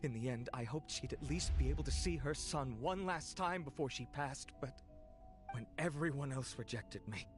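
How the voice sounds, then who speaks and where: A man's voice: mid-range voice, close to an everyday voice. A man playing a bitter, self-justifying researcher turned criminal in a dramatic, indignant game monologue.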